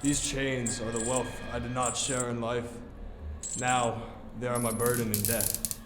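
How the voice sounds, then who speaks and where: A man's voice: medium pitch, level tone, measured pace, noisy background, lightly acted. An actor playing the tormented ghost of a dead businessman in a radio play, speaking in a solemn, declamatory, anguished manner. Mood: grave, foreboding. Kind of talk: audio theater acting. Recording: cavernous long reverb over loud music.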